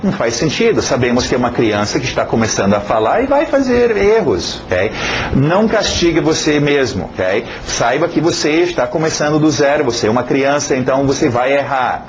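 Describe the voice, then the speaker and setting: A man's voice: medium pitch, sing-song, moderate pace. A man teaching an informal spoken lesson, explaining each point and checking in with a questioning "ok?". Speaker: English teacher. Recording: dull sound with faint hiss.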